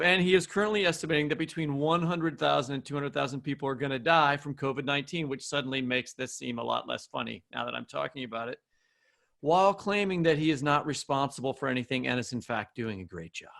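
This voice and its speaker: An American man with a slightly high voice works as a podcast co-host. He introduces and interviews in a conversational style, addressing listeners directly. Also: fairly quick, creaky, a bit bright.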